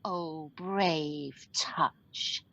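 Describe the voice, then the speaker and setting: A woman's voice: low-pitched, steady tone, very slow, gravelly, sharp tone, no echo, full character voice. A woman playing a fierce, distraught young woman in a radio Shakespeare play, scolding and accusing in impassioned verse. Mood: furious anguish.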